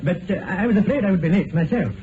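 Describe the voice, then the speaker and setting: Actor as hesitant, polite doctor, tinny old radio. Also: male voice, high voice, lightly acted. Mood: polite, awkward. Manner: hesitant, formal, halting. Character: tactful, awkward male doctor, polite and helpful. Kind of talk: vintage radio drama acting. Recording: noisy tinny old radio broadcast recording.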